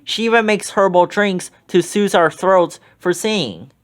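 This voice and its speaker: A man's voice: sits high, unhurried, pitched above the performer's own voice. A man reading the lines of a young woman rock singer, explaining matter-of-factly.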